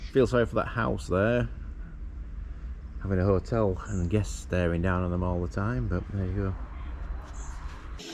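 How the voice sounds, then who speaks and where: Chatty low-voiced man vlogging. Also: vocal fry. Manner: chatty, self-deprecating, laughs often. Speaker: travel vlogger. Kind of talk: casual travel vlog. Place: hotel room and outdoors.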